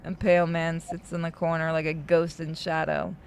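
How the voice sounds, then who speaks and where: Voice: mid-range voice, monotone, normal speed, gravelly, background noise. A streamer narrating a horror visual novel aloud and breaking off for casual asides.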